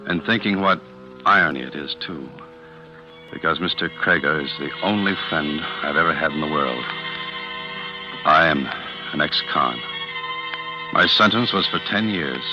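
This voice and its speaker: An actor playing a bitter, brooding ex-convict in 1940s radio drama style. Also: male voice, very deep voice, lively intonation, measured pace, long pauses, over music. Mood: brooding, confiding. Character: bitter, brooding male ex-convict turned clerk. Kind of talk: 1940s radio drama acting, confiding first-person narration. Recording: muffled old radio recording.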